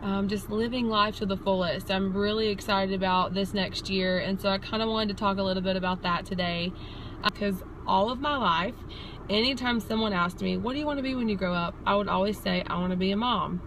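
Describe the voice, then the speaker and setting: A woman's voice: medium pitch, a little fast, rough recording. A woman, talking earnestly and reflectively in a casual personal vlog from inside a car. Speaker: vlogger. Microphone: phone or camera at arm's length. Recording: clear steady car ambience noise.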